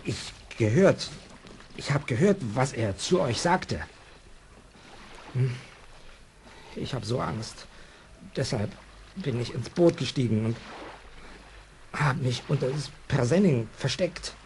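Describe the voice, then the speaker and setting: An actor playing a timid, good-hearted, simple-minded man who talks in halting, childlike broken phrases in a children's radio play. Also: medium pitch, animated, vocal fry, darkish tone. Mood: hesitant, timid. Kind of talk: 1970s German children's audio play acting. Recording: old audio drama, faint background noise.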